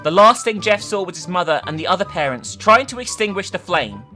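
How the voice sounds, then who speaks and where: Lively, bright-voiced woman reading dramatically. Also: on the low side, fairly quick, faint music behind. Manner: theatrical, emphatic, sweary in asides. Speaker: video host reading a story aloud. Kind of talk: dramatic reading with casual commentary.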